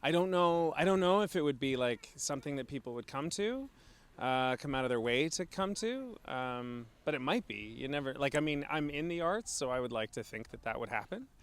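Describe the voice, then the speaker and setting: A man's voice: medium pitch, animated, fairly quick, clear, resonant tone. A man visiting the town, speaking casually and tentatively in an interview with many fillers.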